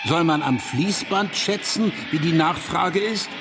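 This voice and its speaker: A man's voice: on the high side, a little slow, noisy background. Contemptuous conservative man. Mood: contemptuous, indignant. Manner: accusatory, contemptuous, rhetorical. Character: indignant conservative man, contemptuous of revolutionaries and workers' councils. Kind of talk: German radio drama dialogue, polemical debate.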